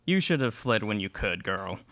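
A man's voice: fairly low pitch, big pitch swings, moderate pace, fairly bright tone, no echo. A young man playing an arrogant, taunting vampire villain in a live radio play. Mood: gloating. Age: young adult. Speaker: student radio play performer. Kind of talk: live radio theatre acting. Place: small classroom studio. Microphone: stand microphone, close.

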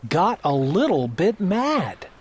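A woman narrating a children's storybook aloud, reading the verse in an expressive, emphatic, theatrical way. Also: lowish voice, slow pace, slightly rough.

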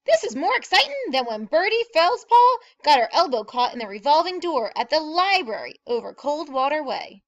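A woman voicing excitable small-town gossips in a storybook, exclaiming breathlessly and emphatically as they spread exaggerated news. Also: high voice, moderate pace, hardly any pauses, muffled recording, pitched above the performer's own voice. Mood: eager. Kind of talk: animated storybook character reading.

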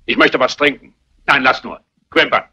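A querulous old patriarch in a crime drama. His voice is very sharp, piercing and raspy, and he grumbles stubbornly like one who will not be bossed around. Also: medium pitch, moderate pace, dull audio. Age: older. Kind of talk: German dubbed crime film dialogue.

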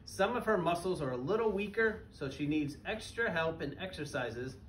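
Higher-voiced man reading aloud, roomy and distant. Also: expressive, normal speed, fairly bright tone. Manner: simple, child-directed phrasing with questions to listeners. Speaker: parent guest reader at a school. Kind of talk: reading a picture book aloud to young children, with asides and questions. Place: small elementary school classroom. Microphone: camera a few metres away, speaking through face mask. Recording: roomy, distant mic with background noise.

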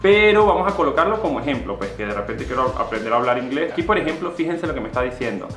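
A man's voice: mid-range voice, reverberant. A young man from Venezuela, casually walking viewers through an app in a sponsored vlog. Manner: chatty, animated, gestures a lot while talking. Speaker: YouTuber. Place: small indoor room with posters. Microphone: camera-mounted shotgun mic at desk distance.

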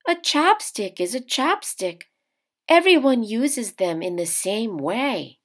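A proud and curious young woman from a storybook, voiced by a woman reader. She speaks high and emphatically, easily flustered, with questions that swing upward in a lively way. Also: takes it slowly, heavily acted. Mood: surprised.

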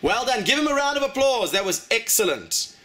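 A man with a South African accent who teaches a class over a video call and warmly praises the students' answers. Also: very high-pitched, sing-song, brisk. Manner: encouraging, praising. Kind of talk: classroom chat.

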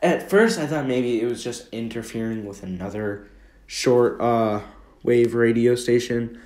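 A man's voice: mid-range voice, unhurried, darkish tone. A young American man rambling casually and hesitantly through an informal commentary. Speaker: video creator. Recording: roomy echo, faint background noise.

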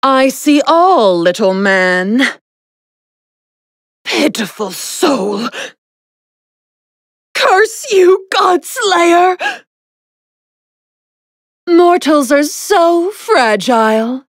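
A vain young goddess from a video game, high-pitched and very slow, savoring her condescension. Her pompous, theatrical delivery swings widely in pitch, imperious yet playful. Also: dry recording, studio quality, full character voice. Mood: outraged. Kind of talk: video game character voice lines.

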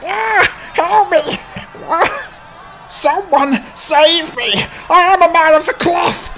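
A man's voice: very high voice, takes it slowly, pushed and bright, faint music behind, heavily acted, low-quality recording. A man voicing a self-righteous village priest in a fantasy audiobook, declaiming and accusing with outrage. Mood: panicked pleading. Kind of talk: dramatized fantasy audiobook acting.

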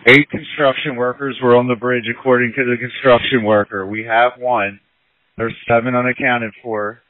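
A male fire rescue responder giving deliberate, emphatic reports over an emergency two-way radio. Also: medium pitch, flat delivery, no room sound. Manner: deliberate, repeats key warnings. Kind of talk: emergency radio dispatch traffic. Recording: narrow tinny two-way radio transmission.

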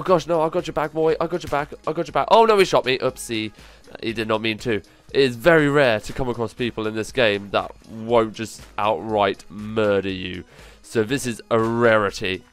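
A man with a cold, sounding nasal, giving excitable commentary as he plays through a survival game, full of quick exclamations. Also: medium pitch, clean tone. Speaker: gaming channel host. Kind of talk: gameplay commentary.

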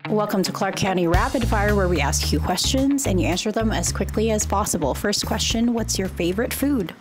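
A woman hosting an interview, reading scripted quick-fire questions in a brisk, upbeat way. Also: medium pitch, animated. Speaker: interview host. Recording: background music underneath.

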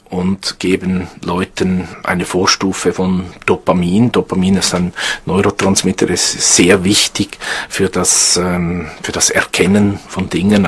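Husky low-voiced man explaining. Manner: tags sentences with questioning "oder?", repeats words while thinking. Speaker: neuroscience researcher. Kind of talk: explanatory interview talk.

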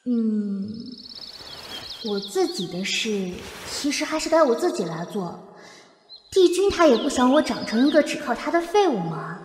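A woman's voice: a little high, very animated, normal speed, background noise. An actress playing an earnest, girlish young fox-spirit queen in an audio drama. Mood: earnest, fretful. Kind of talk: Chinese audio drama acting. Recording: echoey reverb, faint birdsong ambience.

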